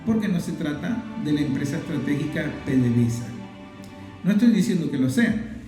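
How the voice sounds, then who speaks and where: A man's voice: a little high, expressive, normal speed, mellow. A man with a Venezuelan accent giving emphatic, didactic political commentary as a program host, stressing points through repetition. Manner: emphatic, didactic, repeats phrases in list-like runs. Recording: very echoey room, faint music. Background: faint music.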